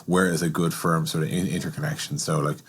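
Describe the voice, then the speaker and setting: A man's voice: very deep voice, level tone, fast, husky. A man hosting a podcast conversation, offering his own opinions and thinking aloud through examples before putting questions. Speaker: podcast host.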